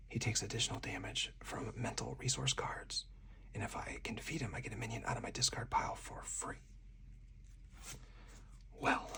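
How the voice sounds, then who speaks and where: A person whispering softly and breathily in ASMR style, narrating a solo card game move by move as the host. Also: mid-range voice, gravelly. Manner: hushed, narrating each move aloud step by step.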